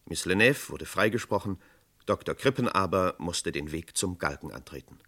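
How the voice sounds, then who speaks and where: A man's voice: sits low, lively intonation, normal speed, sharp tone. A man narrating a scripted 1960s true-crime radio play.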